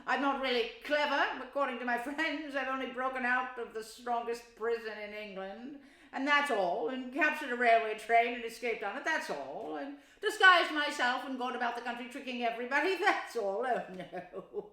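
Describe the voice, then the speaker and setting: A woman voicing a boastful, excitable male toad in a loud, shrill, bragging way. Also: normal speed, few pauses. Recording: roomy echo.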